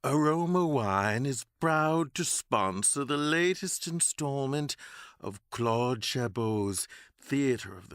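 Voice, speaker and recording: A man's voice: mid-range voice, a bit bright, smooth, dry recording, clean studio sound. A man playing a genteel, urbane announcer reading a scripted sponsor advertisement for an audio drama.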